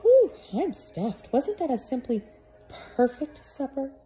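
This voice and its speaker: Squeaky chirpy storybook housekeeper lady, a woman doing the voice, cheery but jumpy. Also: sing-song, slow, soft background music, heavily acted. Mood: forced cheer. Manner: bright, fluttery, forced cheer. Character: fussy, cheery, secretly frightened middle-aged woman caretaker. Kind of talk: storybook read-aloud.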